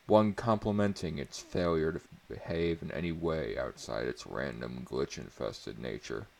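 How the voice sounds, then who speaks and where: A man performs a dramatic reading of a story in a very low voice with heavy creak. He delivers the first-person prose deliberately and emphatically, with a mock-serious, theatrical air. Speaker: reader performing a book chapter.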